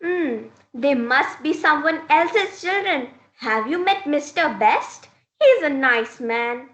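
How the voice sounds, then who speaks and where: A woman's voice: sits high, expressive, takes it slowly, a bit bright, full character voice. A woman playing a polite neighbourly lady in an amateur reader's theater, reciting her lines plainly. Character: polite neighbourly lady making small talk. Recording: dull, muffled sound.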